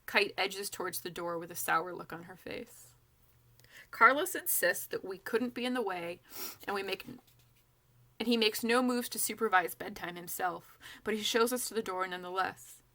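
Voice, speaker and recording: A woman narrates a novel in the first person. Her voice is bright and crisp-edged at a middle pitch. She reads with expressive, animated storytelling. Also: moderate pace. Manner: animated, acts out dialogue. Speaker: author reading own novel aloud.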